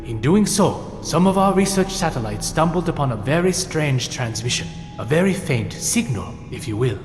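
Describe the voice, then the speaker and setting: An actor playing an erudite Chinese military scientist in a sci-fi audio drama, speaking in a measured, formal, lecturing way. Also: male voice, fairly high pitch, lively intonation, close to an everyday voice. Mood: grave, explanatory. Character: erudite Chinese male military scientist, chief of a secret initiative. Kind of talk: full-cast sci-fi audio drama acting. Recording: echoey reverberant hall, loud music underneath.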